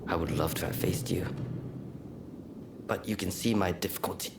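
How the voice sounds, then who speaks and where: A man playing a gentle, courteous, bedridden young swordsman in a video game, with a soft, breathy and polite delivery. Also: low-pitched, normal speed, background noise. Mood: curious, regretful. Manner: polite, self-deprecating, gently spoken. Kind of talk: dramatic video game acting. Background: game soundtrack music.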